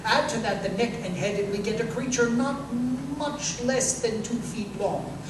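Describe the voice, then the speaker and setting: An actor playing an incisive, self-assured detective in a live 1930s-style radio drama, speaking precisely and emphatically. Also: male voice, very high voice, moderate pace. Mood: analytical. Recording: distant, echoey live stage recording, noisy room.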